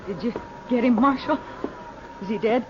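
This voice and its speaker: A woman's voice: on the high side, normal speed, noisy background, close to an everyday voice. Actress as Belle, tough, weary frontier woman. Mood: shaken, strained. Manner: plain-spoken, terse. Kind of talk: 1950s radio western acting. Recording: dull old radio recording.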